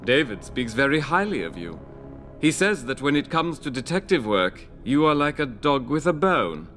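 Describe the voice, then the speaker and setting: Older male scientist-inventor: measured, wry, world-weary game cutscene delivery over soft music. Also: mid-range voice, big pitch swings. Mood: urbane, wry. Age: 60s. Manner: measured, rhetorical, gently ironic. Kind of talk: video game cutscene acting.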